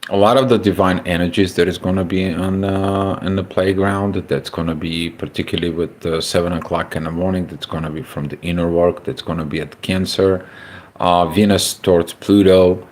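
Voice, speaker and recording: Low-voiced man with a Bulgarian accent. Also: fairly even intonation, a little mellow. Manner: halting, many filler sounds, greets chat viewers. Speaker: astrology livestream host. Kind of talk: casual livestream astrology forecast.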